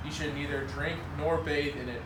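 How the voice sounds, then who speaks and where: A man playing a gruff but helpful host who warns travellers, in an amateur readers' theater reading. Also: fairly high pitch, steady tone, normal speed, close to an everyday voice. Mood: earnest, warning. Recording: noisy echoey room, distant microphone.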